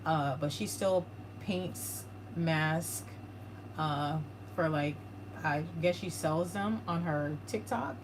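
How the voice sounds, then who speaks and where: A woman co-hosting a paranormal livestream, reading viewer comments aloud in a flat, monotone way. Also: low voice, slow pace. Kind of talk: casual livestream chat. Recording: clear background noise.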